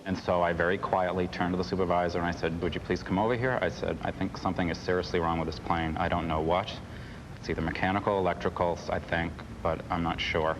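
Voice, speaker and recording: Male interviewee, deep voice, hesitant earnest recollection, clear background noise. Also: little pitch movement, quick pace. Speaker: air traffic controller being interviewed. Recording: clearly noisy interview audio.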